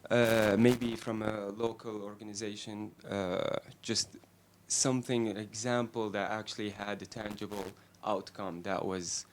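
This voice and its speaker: A man's voice: fairly low pitch, flat delivery, measured pace, few pauses, a little hiss or hum. A man from Syria asking a panel a question, tentative with many fillers. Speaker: audience member asking a question.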